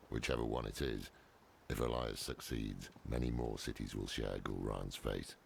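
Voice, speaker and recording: Extremely deep, gravelly old scholar. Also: male voice, a little mellow, a little hiss or hum, heavily acted. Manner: wry, grave, weary. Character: grizzled old male scholar, dry and regretful. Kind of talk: dark fantasy game acting.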